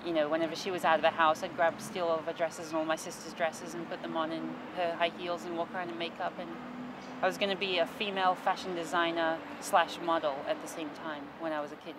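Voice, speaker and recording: A twenty-year-old woman telling her life story in an interview, soft and hesitant with many ums. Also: low voice, noisy background.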